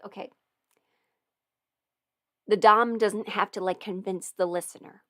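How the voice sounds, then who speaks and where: A woman's voice: medium pitch, dry recording. Casting: young adult woman, chatty and rambling, laughs a lot, casual swearing, dry close-mic sound. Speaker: audio performer answering viewer questions. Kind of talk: casual livestream chat.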